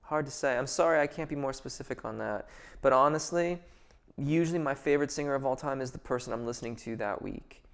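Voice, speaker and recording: A man's voice: medium pitch, fast talker. A man answering viewer questions as a vocal coach in a casual live Q&A, thoughtful and hesitant, with many fillers and restarts before careful technical explanations.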